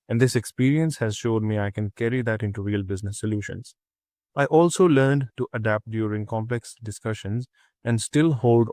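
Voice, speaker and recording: A young man from Pakistan, a student, reading a prepared reflection in a steady, earnest way with small restarts. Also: lowish voice, no echo, clean studio sound.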